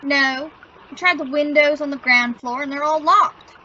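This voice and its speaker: A woman's voice: high-pitched, normal speed, bright, forceful tone, slight background noise. A woman playing an eager, naive, goofy adolescent son in an old-time radio sitcom. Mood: earnest. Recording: dull lo-fi radio play recording.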